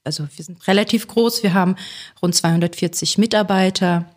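A woman's voice: on the low side, a little fast. A woman from Kazakhstan, a linguist guest on a podcast, answering hesitantly with many fillers, recorded in a room at a language institute.

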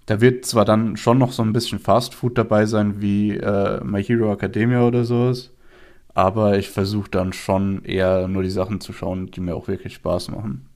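A man's voice: fairly low pitch, little pitch movement, moderate pace, a little mellow. A man co-hosting a casual podcast chat, sounding a little stuffed up because he has a cold. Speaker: podcast co-host.

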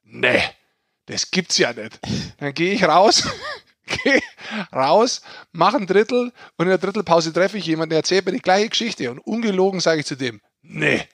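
A man's voice: high voice, very animated, normal speed, fairly bright tone, dry recording, clean studio sound. A man co-hosting a sports podcast in informal, casual chat. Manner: informal, teasing.

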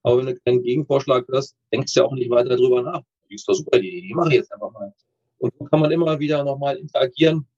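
Husky, mellow man on a muffled recording. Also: mid-range voice, very fast. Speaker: interview guest, dentist with orthodontic practice. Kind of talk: casual chat interview. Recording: dull, muffled lo-fi connection.

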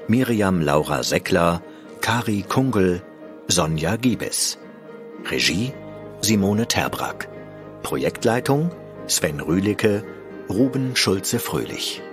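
Deep male announcer over music. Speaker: podcast announcer. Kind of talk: scripted credits read-out.

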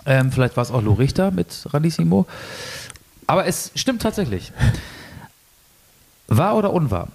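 A man co-hosting a casual football podcast, reading quiz statements deliberately and teasing his co-host. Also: on the high side, big pitch swings, vocal fry, a little mellow.

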